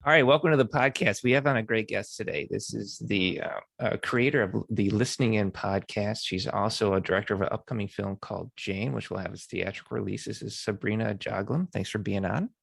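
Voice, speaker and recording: A man's voice: on the low side, a little fast, vocal fry, few pauses, fairly bright tone, no room sound. A man hosting a screenwriting podcast, upbeat and chatty with frequent restarts. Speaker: podcast host. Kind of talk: casual interview chat with ad read.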